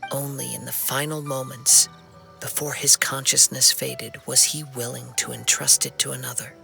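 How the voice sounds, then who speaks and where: Young game swordsman: gentle, measured, thoughtful, mid-range with a very bright tone, faint music. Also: mid-range voice, flat delivery, vocal fry, heavily acted. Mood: reflective. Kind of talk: scripted game dialogue.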